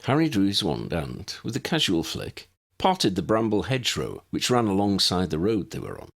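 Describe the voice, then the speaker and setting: Gravelly, expressive male storyteller. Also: low voice, normal speed. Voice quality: gravelly, husky. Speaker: audiobook narrator. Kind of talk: expressive scripted story narration.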